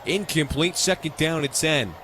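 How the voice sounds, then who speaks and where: A young American man calling live football on the radio as play-by-play announcer, in punchy, clipped, excitable phrases. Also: a little high, moderate pace, a faint crowd. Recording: live stadium ambience noise.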